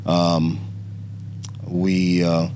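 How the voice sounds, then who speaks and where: A middle-aged man from Romania, a podcast guest telling his family story in a halting way with many fillers and restarts. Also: sits low, monotone, darkish tone, a little hiss or hum. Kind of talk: conversational interview storytelling.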